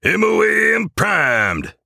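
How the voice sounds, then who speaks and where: A man's voice: sits high, wildly swooping pitch, takes it slowly, creaky, sharp tone, breathy edge, dry recording, shouting, clean studio sound, pitched above the performer's own voice, heavily acted. A man voicing an eager, focused elf tech operator reporting in military fashion in a comic audio story.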